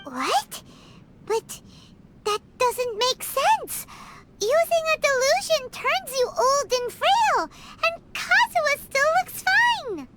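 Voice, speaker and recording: A woman voicing a tiny, excitable fairy-like girl companion who blurts out indignant exclamations in a game cutscene. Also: very high voice, slow, heavy background noise, heavily acted. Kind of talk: video game cutscene dialogue.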